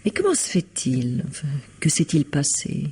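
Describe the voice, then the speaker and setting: A woman's voice: deep voice, wildly swooping pitch, moderate pace, dark tone, husky, exaggerated voice. An actress playing a self-assured, flirtatious society woman in a French mystery radio drama, polished, coquettish and imperious. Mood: coaxing, teasing.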